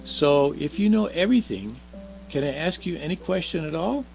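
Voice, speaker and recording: Man putting on an excited, high-pitched little girl. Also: normal speed, creaky, faint music behind, rough recording. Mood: curious. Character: excited, wide-eyed little girl full of questions.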